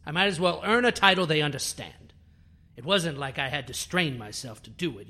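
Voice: mid-range voice, sharp tone. An actor playing a proud, bitter alien scientist in a sci-fi audio drama, speaking in a haughty, theatrical, precise and formal way. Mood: haughty, intrigued. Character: proud, bitter alien scientist who builds biohybrid creatures. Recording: music under the voice.

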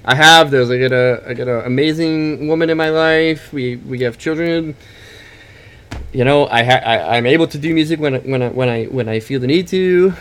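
A man's voice: mid-range voice, moderate pace, a bit bright, clean tone. A talkative musician in his mid thirties chatting casually in an interview, rambling along in slangy, informal speech. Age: mid 30s. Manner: informal, slangy, calls listener bro and man. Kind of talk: casual chat.

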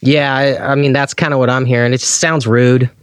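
Clear-voiced man chatting casually, close and dry. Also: mid-range voice, level tone, a little fast, dry recording. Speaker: interview guest, guitar pedal enthusiast. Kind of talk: casual chat.

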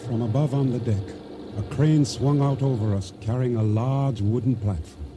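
An actor playing an uneasy, trusting boatman who tells his story in measured, formal radio drama narration. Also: mid-range voice, noisy background, close to an everyday voice. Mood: solemn, suspenseful. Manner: measured, formal storytelling with simple dignified phrasing. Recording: radio drama with music underneath.

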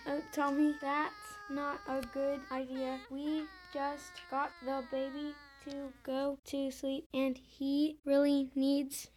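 High-voiced, polite young mother, acted by a female performer. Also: completely flat delivery, takes it slowly, few pauses, noisy background. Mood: pleading. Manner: polite, pleading. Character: polite young mother, weary and increasingly exasperated. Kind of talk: amateur comic radio play.

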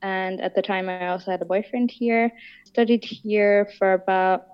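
A woman's voice: mid-range voice, fairly even intonation, moderate pace, smooth, highs cut off. A woman in her twenties from Austria and Ireland, talking casually as a guest in an interview. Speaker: podcast guest.

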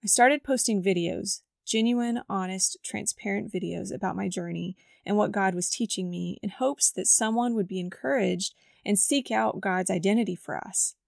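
A woman with a scratchy, gravelly voice hosts a devotional podcast at a middle pitch. She reads her personal story from a script in an earnest, reflective way. The close mic gives a dry sound with no room echo. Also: moderate pace, few pauses, darkish tone. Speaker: podcast host.

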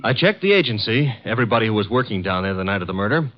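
A cheeky, wisecracking assistant, with a lively, bouncing delivery in an old radio drama. He tosses off sarcastic comebacks easily. The broadcast sound is dull and lo-fi. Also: on the low side. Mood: wry. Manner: breezy, sarcastic, quick with a comeback. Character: wisecracking young male assistant, brash and cheeky. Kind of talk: 1950s radio drama acting.

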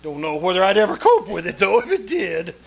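A man plays an excitable real estate agent in old-time radio comedy style. The voice leaps up and down with a creaky edge. He is fussy and theatrical, repeating words as he gets flustered. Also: sits high, fairly quick, full character voice. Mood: glib, chatty. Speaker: actor in a radio troupe.

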